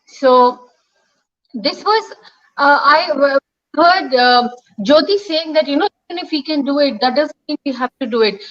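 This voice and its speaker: A woman in her fifties from India joins the discussion as a guest over a video call. Her voice is high, and she speaks in a measured way, pausing with fillers. The call audio comes through dull and lo-fi. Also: fairly bright tone. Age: 50s.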